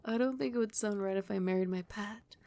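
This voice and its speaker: Woman acting doting vampire queen, breathy and creaky. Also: medium pitch, brisk, dark tone, lightly acted. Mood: fond, playful. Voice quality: breathy, often dropping into whisper. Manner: doting, soothing, affectionate pet names. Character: loving, doting vampire queen, tender and protective. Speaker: ASMR roleplay voice actress. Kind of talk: ASMR roleplay, intimate whispery murmur.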